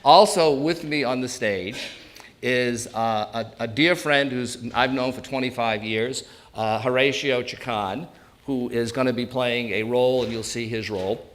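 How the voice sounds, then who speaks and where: Man, sixties, New England accent, medium pitch, informal presenter, bantering and joking with listeners. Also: a little slow, a bit bright. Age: 60s. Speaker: presenter, elder law attorney.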